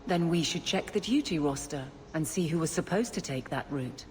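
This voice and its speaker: A woman's voice: sits low, lively intonation, a little hiss or hum. An actress playing a stern, principled city guardswoman in a fantasy video game, speaking firmly and with resolve. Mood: stern, suspicious. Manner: firm, resolute, measured. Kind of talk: dramatic video game acting.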